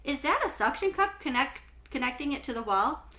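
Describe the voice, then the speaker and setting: An earnest, literal-minded little boy from a children's storybook, voiced by a woman reader. He speaks in a bright voice, precise and matter-of-fact, with a protective streak. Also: sits high, sing-song, a little fast, full character voice.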